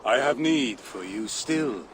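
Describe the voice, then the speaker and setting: An actor playing a sinister, commanding fire-giant sorcerer in a fantasy game, with a drawn-out, coaxing menace. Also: male voice, mid-range voice, expressive, crowd noise. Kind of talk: villainous game cutscene acting.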